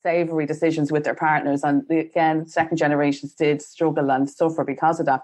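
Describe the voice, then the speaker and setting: Woman podcast host from Ireland, deep voice, rambling. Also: darkish tone. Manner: rambling, many you knows and likes. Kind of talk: podcast conversation.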